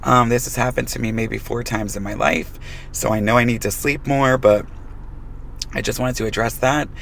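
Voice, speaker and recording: A man who is losing his voice, hoarse, giving frank advice to fellow stylists in a hair colouring tutorial. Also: mid-range voice, moderate pace, fairly bright tone, slight background noise. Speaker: hair colorist.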